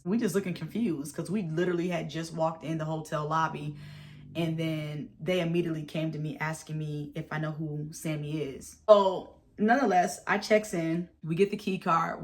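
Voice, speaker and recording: A woman in her thirties with a raspy voice from a head cold, casually telling a storytime vlog with animated retellings. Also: low voice, moderate pace. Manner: chatty, animated storytelling with acted-out quotes. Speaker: vlogger.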